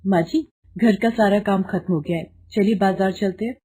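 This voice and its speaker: A woman plays a sweet, dutiful young daughter-in-law in a storybook family drama. She speaks gently and respectfully, with a mellow, slightly husky tone. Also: mid-range voice, moderate pace, close to an everyday voice. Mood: cheerful, dutiful.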